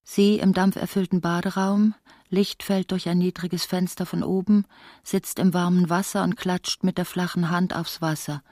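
A woman narrating a literary radio play in a restrained, measured way, with terse descriptive phrases. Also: fairly low pitch, little pitch movement, a little mellow, no echo, clean studio sound, lightly acted.

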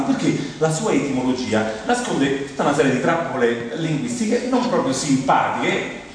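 Roman guy doing an ironic comedy monologue in a theatre, super echoey and far from the mic, bit of hiss. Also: on the high side. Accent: Roman Italian. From Rome, Italy. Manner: conversational, ironic, teasing the audience. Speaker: stand-up monologist, stage performer. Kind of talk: humorous autobiographical theatre monologue to an audience. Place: theatre stage in a large hall. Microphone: distant microphone. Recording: echoey hall from far off, faint noise.

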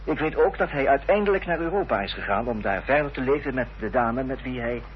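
Man acting a determined gentleman, muffled old recording. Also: medium pitch, lively intonation, brisk, lightly acted. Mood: firm, insistent. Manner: formal, insistent, earnest. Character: determined, formal gentleman confronting an enemy's accomplice. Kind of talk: radio drama acting. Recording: dull, noisy old radio-play recording with hum.

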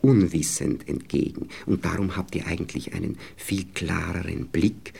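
Gravelly, very deep-voiced Austrian husband, smug and patronizing. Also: male voice, normal speed, warm tone, exaggerated voice. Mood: pompous, explaining. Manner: patronizing, hesitant, groping for words. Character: smug, moralizing husband lecturing his young wife. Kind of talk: radio play acting.

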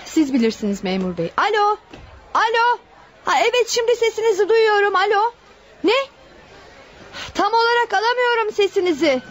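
A woman's voice: very high-pitched, very animated, moderate pace, pauses often. An actress playing a flustered female nurse straining to be heard, in a radio play. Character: flustered female nurse on a bad phone call. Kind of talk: Turkish radio play acting.

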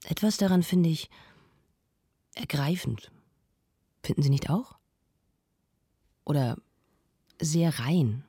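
An actress playing an intrigued, reverent female culture presenter in a radio play, with a soft, husky, creaky voice. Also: sits low, lively intonation, slow, pauses often, dry recording, clean studio sound, full character voice. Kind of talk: radio play acting as an interview.

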